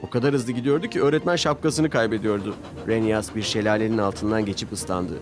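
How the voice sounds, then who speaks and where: A man narrating a scripted children's story with expressive storytelling. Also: fairly low pitch, brisk. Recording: music loud behind the voice. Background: background music.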